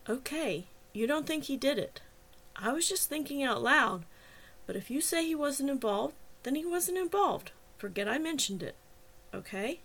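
A woman's voice: high voice, animated, moderate pace, a bit bright, voice pushed up. Woman reading as a persistent, coaxing male cop. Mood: placating. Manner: persuasive, coaxing. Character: persistent, teasing male cop, cajoling and reasonable. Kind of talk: audiobook character dialogue.

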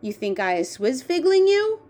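A woman's voice: high-pitched, very animated, moderate pace, a little mellow, pitched above the performer's own voice. A woman voicing a big-hearted male giant in a children's story, earnest and proud, full of wonder, with ungrammatical talk and quaint invented words. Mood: offended.

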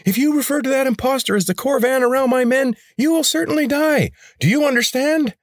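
Shrill grating rebel warlord. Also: male voice, fairly quick, no room sound, studio quality. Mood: menacing. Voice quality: high, grating. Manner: commanding, menacing. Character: high grating rebel leader, male, ruthless.

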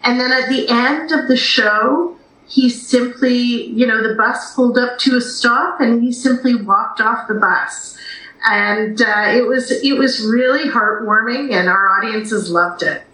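Bright woman in an echoey room. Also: a little high, measured pace. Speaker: theatre company executive director, interview guest. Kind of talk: conversational interview answers. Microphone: video call. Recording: echoey room over video call.

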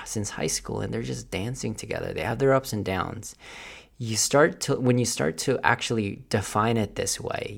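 Gravelly-voiced man giving a pep talk. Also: fairly low pitch. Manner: earnest, restarts often, slows down to stress key ideas. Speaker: fitness vlogger. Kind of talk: motivational vlog talk.

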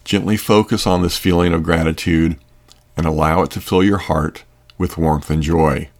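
Really low male voice, calm n gentle, reading a sleep meditation script. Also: moderate pace. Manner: calm, soothing, gentle delivery. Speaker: guided meditation narrator.

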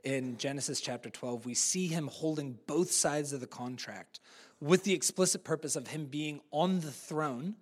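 A man from New Zealand with an American accent, preaching a live sermon in a church in a conversational, animated way with casual fillers. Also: fairly high pitch, normal speed. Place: church service.

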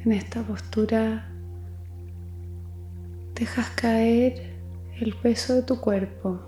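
A woman leading a guided meditation, speaking gently and soothingly in a soft voice with long pauses. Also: a little high, steady tone, echoey room. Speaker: psychologist guiding meditation. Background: music.

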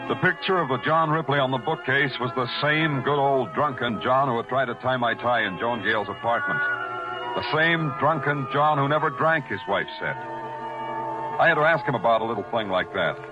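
A muffled vintage radio recording with prominent music behind a man playing a hardboiled detective. He is world-weary and sardonic, with a medium-pitched voice and a deadpan, brooding delivery. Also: moderate pace, lightly acted. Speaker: lead actor and narrator. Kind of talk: 1940s hardboiled radio narration and acting.

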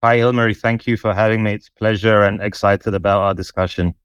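Brief: thirties male guest, low steady delivery, measured and reflective, dry close sound. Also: clean tone, no echo, lo-fi sound. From born in former Yugoslavia, raised in the UK. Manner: measured, reflective, with some fillers. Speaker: podcast guest, nonprofit founder. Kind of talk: conversational interview answers.

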